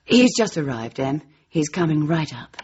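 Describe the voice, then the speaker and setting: An actress playing a poised, arch British secretary in a radio drama, coy and playful, with an English accent. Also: female voice, deep voice, very animated, moderate pace, a little mellow, lo-fi sound, slight background noise. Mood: teasing, fond.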